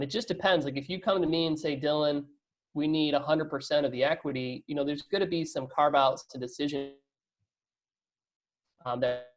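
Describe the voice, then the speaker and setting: A man's voice: medium pitch, poor audio. A man speaking as an investor guest in a casual business interview, conversational, often adding "you know" and "right?" tags.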